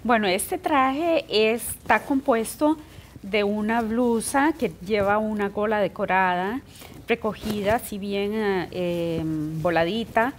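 A woman's voice: mid-range voice, slow, a little hiss or hum. A middle-aged woman from Costa Rica answering interview questions in a careful, hesitant way with many fillers. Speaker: guest. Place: indoor TV studio set.

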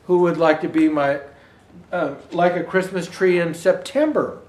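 Older man, high voice, children's storybook reading, expressive deliberate phrasing, roomy echo. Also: recorded from a distance. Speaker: Santa Claus storyteller. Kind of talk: read-aloud children's picture book. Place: indoor room with Christmas decor.

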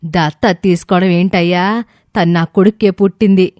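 A woman's voice: lowish voice, steady tone, normal speed, darkish tone, no echo, studio quality, close to an everyday voice. A woman voicing an old grandmother in a story, indignant and suspicious, with blunt, challenging questions. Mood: bewildered, suspicious.

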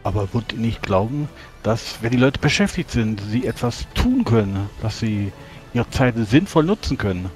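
A man playing a naive, well-meaning gentleman adventurer in a tabletop roleplay, with hesitant, prim and genteel phrasing. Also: medium pitch, animated, normal speed, natural voice. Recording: music behind.

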